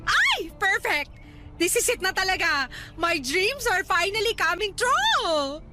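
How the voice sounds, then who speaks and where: A woman's voice: very high-pitched, big pitch swings, slow pace, music in the background, full character voice. A young woman with a Filipino accent playing a vain, ambitious resort worker weary of family burdens, in theatrical radio drama style. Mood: giddy excitement. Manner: theatrical, indignant, exclaiming.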